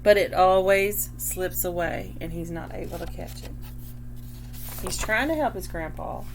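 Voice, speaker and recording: A woman reading a picture book aloud like a teacher, pausing to explain and check understanding, with a noisy hum. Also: on the low side. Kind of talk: picture book read-aloud with questions to children.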